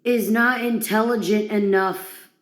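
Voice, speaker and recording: A woman's voice: mid-range voice, steady tone, unhurried, roomy sound. A woman delivering a dramatic, mocking monologue to one listener in a crude, theatrical, taunting way. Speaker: performer of villain monologues.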